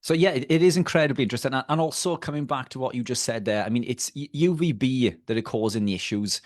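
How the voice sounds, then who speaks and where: A man's voice: medium pitch, fast, no room sound, studio quality. A man with a Welsh accent, a guest on a video call, explaining health topics in a casual, hesitant way with many fillers and restarts. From Wales.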